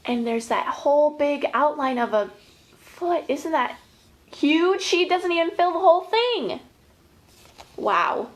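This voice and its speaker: High-voiced, unhurried American woman, children's educator. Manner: expressive, exclaiming, chatty, addressing children directly. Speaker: children's educator leading story time and movement. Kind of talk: animated picture-book reading and casual guided instruction for young children.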